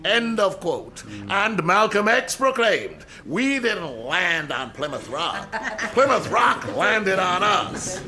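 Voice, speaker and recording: An actor playing an outspoken, wry, middle-aged history professor in a radio drama, emphatic and rhetorical. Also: male voice, sits high, big pitch swings, slow, a crowd in the background, exaggerated voice. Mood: impassioned lecturing. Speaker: university lecturer. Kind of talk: radio drama acting, lecturing.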